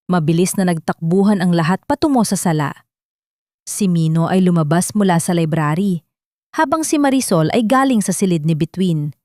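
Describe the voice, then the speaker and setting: A woman's voice: lowish voice, expressive, normal speed, a little mellow, dry recording, studio quality. A woman with a Filipino accent reading a scripted story as a dramatic audiobook narrator.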